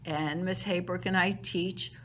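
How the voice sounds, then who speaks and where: A woman's voice: on the low side, fairly even intonation, a little slow. A woman teacher welcoming parents to a class and explaining how it runs, in a friendly, informal way with some fillers. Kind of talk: virtual parent night presentation. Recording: dull lo-fi home recording, faint hiss.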